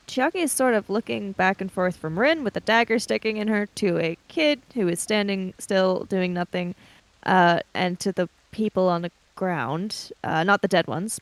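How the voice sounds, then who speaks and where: A woman's voice: mid-range voice, no room sound, rough recording. A woman playing a tabletop roleplaying game, casually describing her character in a tentative, trailing way.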